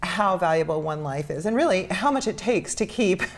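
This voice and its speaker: A middle-aged woman with an American accent, telling her personal story earnestly and reflectively as an interview guest, pausing between phrases. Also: lowish voice, animated. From New York City, United States. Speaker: interview guest, charity founder.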